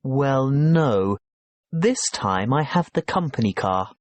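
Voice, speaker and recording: A man playing a polite businessman checking into a hotel in a scripted listening-test dialogue, courteous and clearly spoken. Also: medium pitch, animated, slow pace, no room sound.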